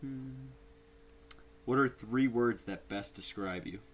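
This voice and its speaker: A young man co-hosting a podcast in casual chat, relaxed, laughing often, with lots of "like" fillers. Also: medium pitch, slow pace. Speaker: podcast co-host.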